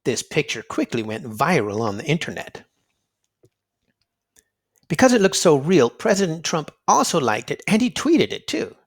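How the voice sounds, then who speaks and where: Male children's read-aloud host. Slightly high register, highly varied intonation, theatrical emphasis and drawn-out key words. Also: huge pitch swings, slightly rough.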